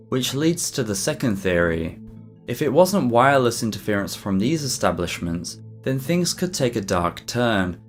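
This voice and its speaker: A man reading a scripted mystery documentary narration for an online video in a measured, explanatory way. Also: lowish voice. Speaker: YouTube narrator. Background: background music.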